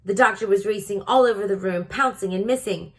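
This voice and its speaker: A woman's voice: medium pitch, fairly quick. A woman reading a storybook aloud to children in a teacherly manner, prompting her listeners. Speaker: storybook reader. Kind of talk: read-aloud narration for children.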